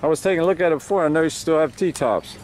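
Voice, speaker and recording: A man's voice: on the high side, a little mellow. A man filming and interviewing a car owner at an outdoor show, asking short prompting questions. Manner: brief prompting questions and quick acknowledgments. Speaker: videographer interviewer. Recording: outdoor noise behind.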